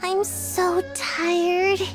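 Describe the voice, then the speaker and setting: A woman voicing a lazy little shapeshifting ninja girl in a video game, with a drowsy, listless, drawn-out delivery. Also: extremely high pitch, lively intonation, over music, heavily acted. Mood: drowsy, weary.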